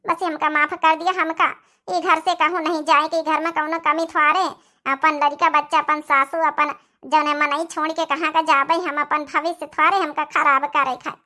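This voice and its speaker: Repentant cartoon daughter-in-law, done by a woman: high, bright, pleading, Awadhi accent. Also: little pitch movement, normal speed, soft background music. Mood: tearful pleading. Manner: pleading, effusive. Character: repentant young village daughter-in-law, emotional, pleading wife and mother.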